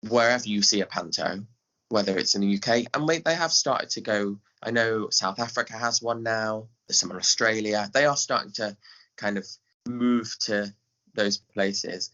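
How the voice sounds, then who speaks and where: Bright, chatty English man, lower-pitched. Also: normal speed. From Skegness, England. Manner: chatty, informal phrasing. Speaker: podcast guest, theatre director. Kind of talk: casual explanatory chat. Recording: dull, lo-fi audio.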